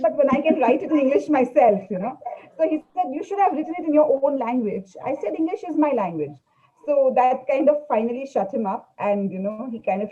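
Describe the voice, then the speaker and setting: A woman novelist whose first language is Urdu, talking in a halting way with many fillers on a panel held over a video call. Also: high voice, mellow, husky, highs cut off.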